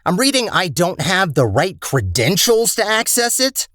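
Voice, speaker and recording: A man's voice: sits high, very animated, moderate pace, fairly bright tone, dry recording, studio quality. A man voicing a talkative robot core in a video game, a petty, scheming villain with a sarcastic, theatrical and condescending manner. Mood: condescending, chatty. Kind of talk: video game character acting.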